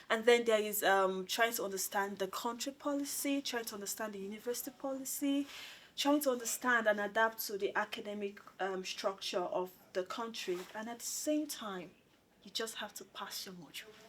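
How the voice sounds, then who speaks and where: Chatty young woman hosting, medium pitch. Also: a little hiss or hum. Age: young adult. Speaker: student union president hosting an interview. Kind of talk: informal hosted interview.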